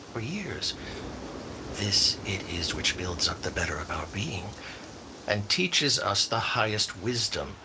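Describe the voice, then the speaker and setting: A man playing a grave minister delivering a florid funeral eulogy in a radio drama. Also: lowish voice. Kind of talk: solemn oratory, radio drama acting. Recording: clearly noisy background.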